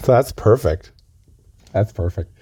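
A man, raspy from a chest cold, rambling earnestly and stammering through deadpan comedy chat outdoors on a lookout. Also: lowish voice, measured pace. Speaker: comedy co-host.

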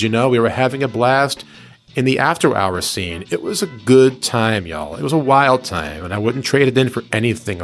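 A middle-aged man, a radio personality, telling stories in a conversational, easygoing way with slangy asides to listeners. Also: mid-range voice. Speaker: radio personality recounting his career. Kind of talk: conversational storytelling.

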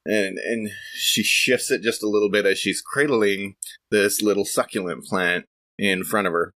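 Guy doing improvised narration for a tabletop rpg, lowish voice but bright, kinda hesitant, casual and descriptive. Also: fairly even intonation, normal speed, dry recording. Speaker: tabletop role-playing game player.

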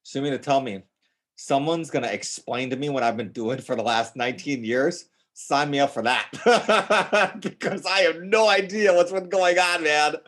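A man's voice: fairly high pitch, moderate pace, a bit bright. An American man speaking as a podcast guest in a casual interview, informal and enthusiastic, often repeating words for emphasis. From United States, north of Chicago. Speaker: podcast guest, Greek Orthodox priest.

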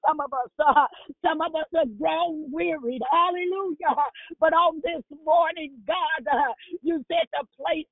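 A woman's voice: sits high, a little slow, dry recording. A woman leading a spontaneous prayer on a phone prayer line, fervent and repetitive, with frequent filler sounds between her cries. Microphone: telephone. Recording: dry tinny phone line.

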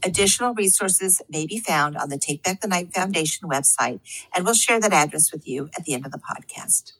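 A woman co-hosting a podcast, reading a prepared content warning in a calm, careful way. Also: lowish voice, fairly quick, rough recording. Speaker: podcast co-host. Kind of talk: scripted announcement.

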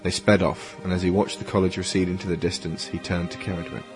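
A man's voice: low voice, level tone, quick pace. A man reading scripted third-person story narration for an audio drama. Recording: music under the voice.

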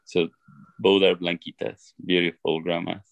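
A deep, gravelly-voiced man from Ecuador with an Ecuadorian Spanish accent, recorded close and dry. He is middle-aged and talks through his story in an earnest, halting way, often restarting his sentences. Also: little pitch movement, no room sound. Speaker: interview guest. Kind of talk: reflective personal storytelling.

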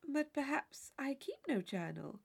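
Audiobook role: woman as demure young lady, polite, reserved, quietly amused, expressive pitch. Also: measured pace, gravelly, full character voice. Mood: mild protest. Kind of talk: classic novel audiobook character voice.

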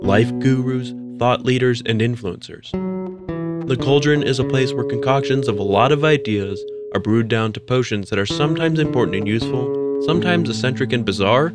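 A man voices a scripted podcast opening in an unhurried, even cadence. Plucked guitar music plays loudly under him the whole time. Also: mid-range voice. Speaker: show announcer. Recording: voice over loud guitar music.

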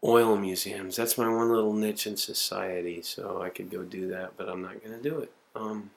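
A man in his forties talks to his home camcorder in a rambling, self-mocking way, swearing freely. His voice sits low. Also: steady tone, moderate pace, vocal fry. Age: 40s. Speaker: video diarist. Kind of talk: casual self-recorded monologue.

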